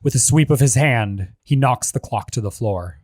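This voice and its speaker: A male narrator reads screenplay action lines in a scripted style. His voice is mid-pitched, and the recording is dry with very little room sound. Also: moderate pace, darkish tone, no room sound.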